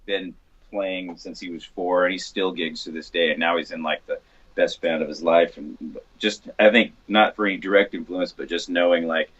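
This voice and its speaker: A man with a very low voice and a rough, husky grain. He talks casually and with slangy enthusiasm, like a band member in a group interview, on a dull lo-fi recording. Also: steady tone, normal speed, a bit bright. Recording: dull, muffled lo-fi sound.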